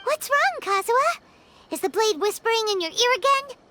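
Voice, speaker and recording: A tiny flying female sidekick from a video game, blunt and excitable. Her voice sits extremely high and bounces wildly up and down. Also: normal speed, over loud music. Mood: curious. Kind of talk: video game dub acting.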